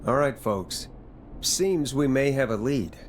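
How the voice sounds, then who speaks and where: A man's voice: medium pitch, animated, slow pace, slight background noise. A man playing a wry, easily irritated late-night radio talk show host in a video game, with practised on-air patter.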